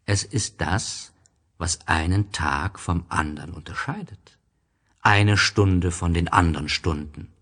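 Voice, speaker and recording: Man voicing a wistful, husky storybook fox. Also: deep voice, takes it slowly, fairly bright tone, exaggerated voice. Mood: explaining. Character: gentle, wistful male fox, patient and wise.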